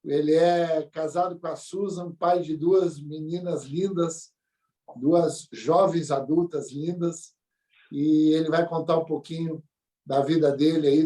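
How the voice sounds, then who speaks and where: Man, church pastor, warm repetitive intro, tag questions, slightly high, distant mic.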